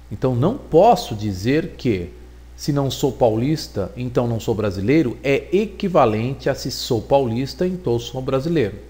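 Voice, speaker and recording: A man giving a recorded logic lesson, explaining deliberately and repeating points for emphasis. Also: mid-range voice, darkish tone. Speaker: teacher.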